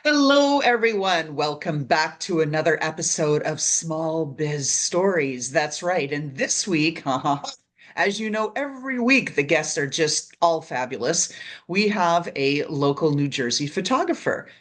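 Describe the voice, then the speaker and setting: A woman's voice: sits low, lively intonation, unhurried. A woman from Canada with a Canadian accent, hosting an interview show in an upbeat, chatty way. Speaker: podcast host. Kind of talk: casual interview chat.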